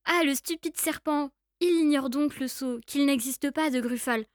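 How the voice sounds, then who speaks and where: A clever, cheeky little storybook mouse, played by a woman. The voice is high and singsong, with a bold, bluffing manner and a dry, close sound. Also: moderate pace, dry recording, natural voice. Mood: mocking. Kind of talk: children's storybook acting.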